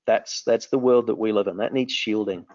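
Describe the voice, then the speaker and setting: A New Zealand man in his forties talks with eager energy, often restarting his sentences. His voice sits fairly low. The audio is dry and a little dull, as if captured on a modest mic. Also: steady tone, normal speed, darkish tone, no echo. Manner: enthusiastic, rambling with frequent restarts. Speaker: company founder. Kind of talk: remote interview answers.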